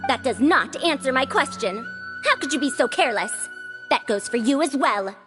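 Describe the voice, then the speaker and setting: A woman voicing spirited, expressive female characters in a fantasy adventure video game. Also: high-pitched, normal speed. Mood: scolding. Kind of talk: animated video game voice acting. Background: background music.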